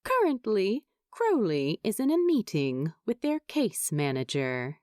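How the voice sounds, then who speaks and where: A woman reading a story aloud as an expressive, wry narrator. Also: mid-range voice, a little slow, no echo, studio quality. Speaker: fan fiction narrator.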